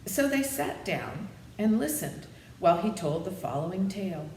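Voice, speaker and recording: A woman's voice: lowish voice, big pitch swings, normal speed, a little mellow. A woman reading a children's story aloud, with chatty asides to her listeners. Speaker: storybook reader. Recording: echoey room with faint noise.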